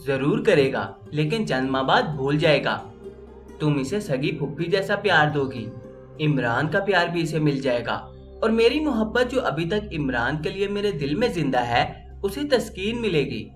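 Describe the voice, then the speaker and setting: Woman narrator as desperate young mother, urgent, persuasive, animated intonation, storybook drama, faint music. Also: low-pitched, normal speed. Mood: pleading. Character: desperate lovelorn young mother, pleading and insistent.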